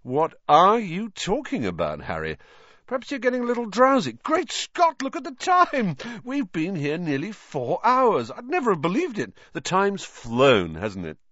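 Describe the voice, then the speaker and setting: A man's voice: medium pitch, sing-song, measured pace, hardly any pauses, bright, forceful tone, no room sound, lo-fi sound, voice pushed up. A man voicing a vain, jolly celebrity wizard with a pompous, effusive, theatrical manner in a storybook reading. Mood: puzzled, breezy.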